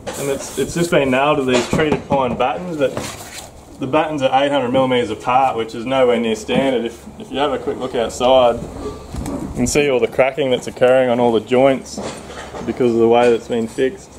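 A man in his thirties with an Australian accent, a builder explaining damage on a relaxed site walkthrough. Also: medium pitch, moderate pace. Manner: relaxed, explanatory, lots of ums. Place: house under renovation, indoors. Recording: echoey bare rooms, noisy.

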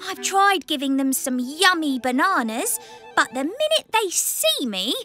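A woman voicing a friendly, flustered young female steam engine in a children's cartoon, expressive and exclamatory. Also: high-pitched, unhurried, faint music behind, exaggerated voice.

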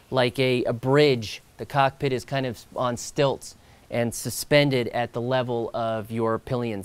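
A man in his thirties presenting a product outdoors, explaining in a relaxed way with the odd filler and restart. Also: medium pitch, clean tone. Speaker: company co-founder presenting product. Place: outdoors by a stone wall.

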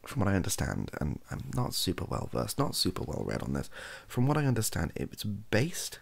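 A young man with a husky, gravelly voice, rambling softly and wryly to camera, often hesitating and correcting himself. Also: fairly low pitch, wildly swooping pitch, moderate pace. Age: young adult. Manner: hesitant, self-correcting, wry. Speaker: ASMR comedy video creator. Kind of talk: improvised soft-spoken rambling to camera. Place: small home bedroom office.

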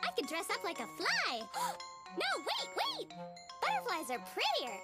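A woman voicing a relentlessly cheerful, naive little schoolgirl in an animated cartoon, squeaky and childlike, gushing with sing-song delight. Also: measured pace, exaggerated voice. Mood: excited. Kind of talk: animated TV series character acting. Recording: children's music behind the voice.

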